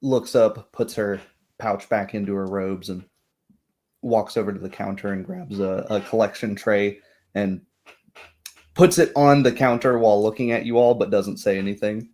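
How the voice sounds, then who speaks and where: Low-voiced man narrating as game master. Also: normal speed, darkish tone. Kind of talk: improvised narration of a tabletop roleplay.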